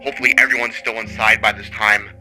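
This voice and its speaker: Guy playing a doom news anchor, lowish voice, serious, clear music underneath. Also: level tone, fast talker, sharp tone, low-quality recording. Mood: grave. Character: grave male news anchor during an apocalypse. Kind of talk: dramatic news bulletin acting.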